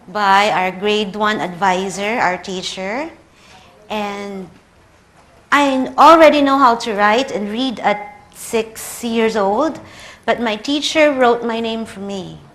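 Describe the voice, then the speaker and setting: A woman's voice: a little high, fairly bright tone. A woman in her thirties with a Filipino accent, a native Tagalog speaker, giving a conference lecture and telling personal stories with light humor. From Philippines. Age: 30s.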